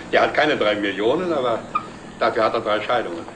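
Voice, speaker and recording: A man's voice: fairly low pitch, animated, moderate pace, close to an everyday voice. A man playing a wryly mocking company director in a scripted television drama. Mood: wry, amused. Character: wryly mocking male company director, sardonic and self-ironic. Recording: roomy, distant, noisy 1960s TV soundtrack.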